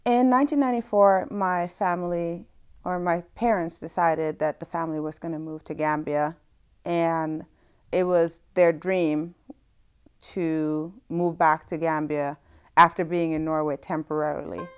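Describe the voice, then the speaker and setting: Young woman with a deep, low voice, calm and measured in recollection. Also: clear, resonant tone. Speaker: interviewee. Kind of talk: personal interview recollection.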